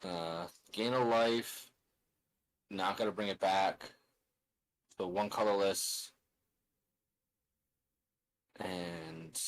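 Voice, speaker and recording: A man's voice: low voice, rough recording. A man casually narrating his own moves in a tabletop card game, muttering and counting aloud as he thinks. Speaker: card game player and streamer.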